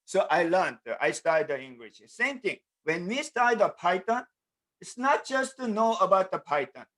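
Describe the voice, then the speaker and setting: Korean man teaching in halting English. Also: high voice, big pitch swings, a bit bright. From South Korea. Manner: halting, with frequent fillers and repeated "the". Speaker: programming teacher. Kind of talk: online class lecture over video call. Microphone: computer mic on a video call.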